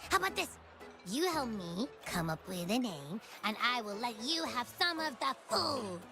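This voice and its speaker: A woman's voice: on the high side, big pitch swings, moderate pace, music in the background. A woman voicing a small, childlike, cheeky and dramatic hungry creature in a video game. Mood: pleading, demanding. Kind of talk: video game character acting.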